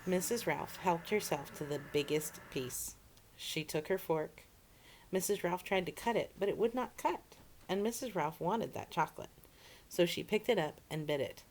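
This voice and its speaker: A woman reading a children's storybook aloud in an expressive, animated way, as a storyteller for children. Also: on the low side, normal speed, slight background noise. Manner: expressive, acts out the dialogue.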